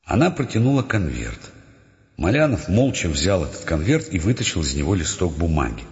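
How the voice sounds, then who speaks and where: A man's voice: low voice, sing-song, brisk. An actor as a male storyteller, narrating an audio drama with dry irony. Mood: descriptive. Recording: dull, long reverb, music bed.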